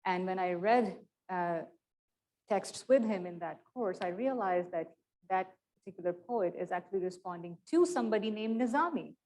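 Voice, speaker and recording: A woman lecturer answering audience questions in a measured, thoughtful way, with small hedges and restarts. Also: mid-range voice, animated, darkish tone. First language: not Persian. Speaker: guest lecturer. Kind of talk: academic Q and A answers. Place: lecture room.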